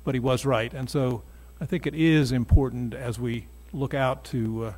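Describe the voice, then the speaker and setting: Husky mid-voiced male panelist, wry anecdotal delivery. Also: medium pitch, steady tone, moderate pace, a little mellow. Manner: wry storytelling, quoting himself. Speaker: panel speaker. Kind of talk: conference panel remarks.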